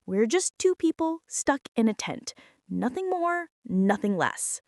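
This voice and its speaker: Woman acting a bratty, high-voiced teenage girl bully. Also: big pitch swings, measured pace, darkish tone, no echo, clean studio sound. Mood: grudging, defensive. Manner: whiny, sarcastic, exasperated complaining with sighs. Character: bratty teenage girl bully, grumbling, secretly softening toward tentmate. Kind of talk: ASMR roleplay, acted monologue to the listener.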